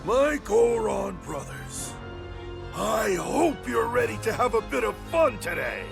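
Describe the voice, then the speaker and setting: A man playing a friendly, spirited warrior in a fantasy game, with a jovial, unhurried manner. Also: sits high, big pitch swings, gravelly, over music, exaggerated voice. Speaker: video game character voice. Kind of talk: dramatic game cutscene acting.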